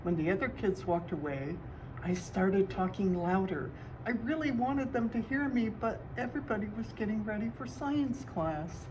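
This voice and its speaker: A young woman with a mid-range voice reads a children's story aloud. Clear background noise runs under the recording. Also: medium pitch, lively intonation, moderate pace. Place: block-walled school room, indoors.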